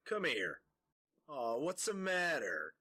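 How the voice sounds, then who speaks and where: Man as slow, taunting thug guard. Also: a little high, wildly swooping pitch, bright, forceful tone, husky, full character voice. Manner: mocking, menacing. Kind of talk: radio drama acting.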